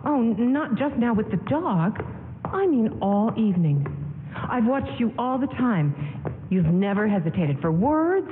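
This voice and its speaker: A tender, guarded young sweetheart in a 1940s radio romance. Her delivery is halting and swings with feeling, heard through a narrow broadcast sound with a long hall reverb. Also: on the high side, sing-song, a little hiss or hum. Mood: shaken, admiring. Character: tender, worried young woman in love, guarded. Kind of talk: 1940s radio drama acting. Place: live stage in a town hall.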